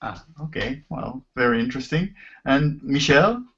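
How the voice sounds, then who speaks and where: A man hosting an informal live online discussion as a professor, speaking haltingly and rephrasing as he goes over a video call. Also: medium pitch, expressive, fairly bright tone, breathy edge. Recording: muffled lo-fi video call audio.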